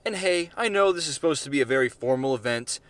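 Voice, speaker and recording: A man's voice: medium pitch, animated, quick pace, a bit bright, dry recording, natural voice. A man voicing an embattled, indignant American president in a story reading, with emphatic, dramatic declarations. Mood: tense, indignant. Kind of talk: amateur short-story character reading.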